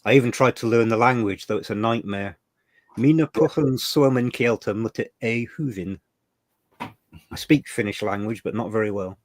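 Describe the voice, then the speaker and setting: A man's voice: lowish voice, moderate pace, no echo. An older man from England, co-hosting a livestream, chatting about old memories with mild swearing. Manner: chatty, emotional, swears mildly. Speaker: livestream co-host. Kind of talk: casual reminiscing chat.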